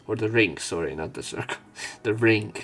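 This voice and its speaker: A man's voice: on the low side, moderate pace, fairly bright tone, slightly rough. A man reading visual novel text aloud for a let's play, dropping in casual, sweary asides.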